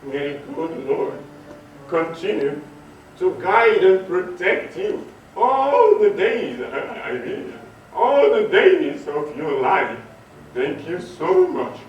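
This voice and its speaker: A man's voice: sits high, sing-song, highs cut off, a little hiss or hum, voice pushed up, exaggerated voice. A man on stage voicing a blind widowed beggar mother, pleading and grateful in theatrical style. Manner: slow, pleading delivery with blessings. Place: hotel hall stage. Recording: distant echoey stage recording, music behind.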